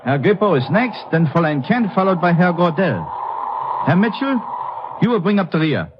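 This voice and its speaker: A German-accented mountain guide in an old radio adventure. His fairly high voice moves up and down freely, formal yet reassuring. The recording is dull with faint noise. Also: very animated, moderate pace. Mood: genial, instructing. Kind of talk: 1950s radio adventure acting.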